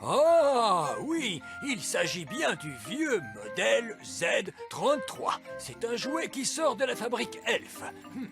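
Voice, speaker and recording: A man voicing a pompous old wise elf in a children's cartoon, with drawn-out, exclaiming speech. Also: very high voice, huge pitch swings, heavily acted. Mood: jovial, knowing. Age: older. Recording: music under the voice.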